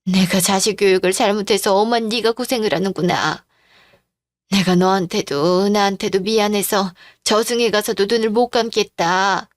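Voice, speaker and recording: A woman's voice: medium pitch, steady tone, normal speed, no room sound, studio quality, close to an everyday voice. A woman playing a frail, confused elderly mother-in-law, tender and longing, in a radio-drama style story. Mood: weary, confused.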